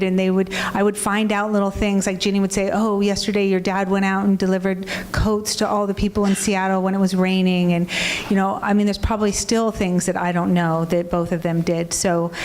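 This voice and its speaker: A woman in her forties talks earnestly at a panel, her thoughts dotted with ums and restarts. Her pitch sits mid-range. A faint hiss sits behind her. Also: a little mellow. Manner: earnest, many fillers. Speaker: panel guest. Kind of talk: reflective panel talk.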